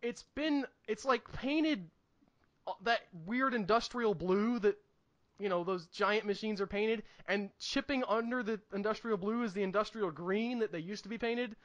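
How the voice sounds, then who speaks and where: A man with a notably high, bright voice co-hosts a casual podcast. He talks with comic emphasis and repeats himself for effect as he tells stories. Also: moderate pace, highs cut off. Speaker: podcast co-host. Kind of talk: casual comedic chat and anecdote telling.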